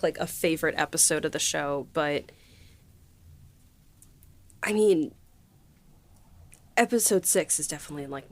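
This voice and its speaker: A woman hosting a casual panel chat, chatty and effusive, often feeling her way through a thought. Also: low-pitched. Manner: chatty, hesitant, effusive in praise. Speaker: podcast host and series writer.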